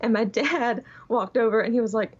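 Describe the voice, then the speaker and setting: A woman of nineteen with a fairly high voice chats over a slightly dull call. She tells anecdotes in a casual, youthful and giggly way. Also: moderate pace, breathy edge. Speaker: podcast guest. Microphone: remote call.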